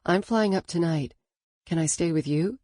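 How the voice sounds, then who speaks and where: A woman narrator voicing a grieving young woman artist in her late twenties, speaking quietly with a strained, practical manner. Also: lowish voice, moderate pace, dry recording, studio quality, close to an everyday voice. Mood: subdued.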